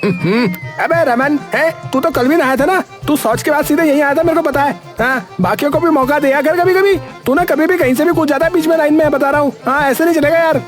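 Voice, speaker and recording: A man voicing an indignant, quarrelsome villager in an animated comedy, nagging and blustering. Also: extremely high pitch, fairly quick, few pauses, faint music behind, voice pushed up. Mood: accusing. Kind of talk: Hindi animated comedy story voice acting.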